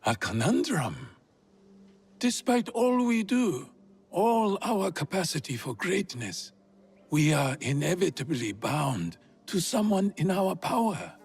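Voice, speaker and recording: Grave, slow-speaking feudal Japanese lord. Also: male voice, sits high, sing-song, darkish tone, husky, exaggerated voice. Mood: grave, philosophical. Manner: measured, formal, deliberate. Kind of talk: video game cutscene acting.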